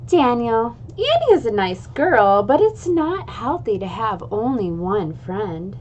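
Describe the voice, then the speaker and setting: A woman's voice: on the high side, sing-song, takes it slowly. Lady reading as a caring storybook mom, patient and a bit naggy, kinda dull recording with faint hiss. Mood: nagging. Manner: patient, gently scolding. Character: caring, gently nagging mother of a boy.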